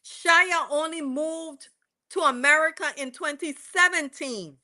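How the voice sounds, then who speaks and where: High, bright-voiced West Indian woman. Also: unhurried. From West Indies. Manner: rambling, self-correcting, chatty. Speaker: livestream host. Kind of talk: casual chat recapping court testimony.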